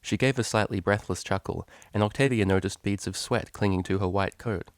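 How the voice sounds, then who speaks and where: A man with a fairly low voice narrates a scripted story. He reads as a storyteller, and the recording is dry with very little room sound. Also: brisk, no echo. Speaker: story reader.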